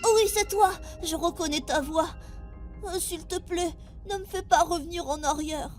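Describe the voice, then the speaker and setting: Une femme qui joue un garçon de sept ans sérieux et inquiet, candide et vite excité, stagiaire spatial dans une fiction audio. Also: female voice, very high voice, moderate pace, soft background music. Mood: timid. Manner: wide-eyed, earnest, excitable. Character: earnest, anxious seven-year-old boy, space crew intern. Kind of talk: audio drama acting.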